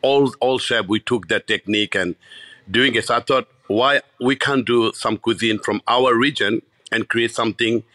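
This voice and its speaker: A Bangladeshi chef in his fifties, telling his story in an interview, animated and full of restarts. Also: mid-range voice, moderate pace, dry recording. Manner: animated, many restarts and repeats.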